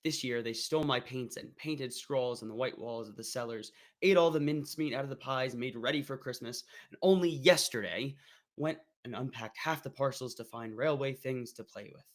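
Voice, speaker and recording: A man's mid-pitched voice reads letters aloud at an unhurried pace. He is still getting over an illness and stumbles on a word now and then. The reading stays measured and deliberate. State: recovering from an illness. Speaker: guest reader.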